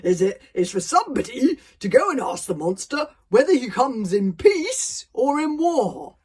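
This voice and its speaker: A woman voicing a blustering, uncomfortable Viking chief in a storybook, loud and halting as he feigns command. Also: fairly high pitch, big pitch swings, slightly rough, voice pushed up, full character voice. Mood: feigning command. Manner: loud, flustered, halting, self-important.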